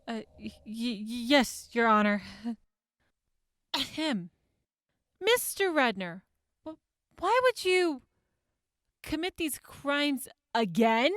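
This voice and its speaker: High-pitched, flustered woman playing a prosecutor. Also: sing-song, fairly bright tone, no room sound, studio quality, heavily acted. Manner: halting, stammering. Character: flustered, hesitant female prosecutor. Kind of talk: audio drama acting.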